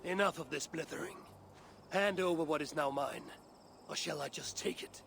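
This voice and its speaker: Gravelly grim male warrior. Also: a little high, normal speed, a bit bright, slight background noise. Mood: defiant. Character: grim, determined warrior on a vengeful quest.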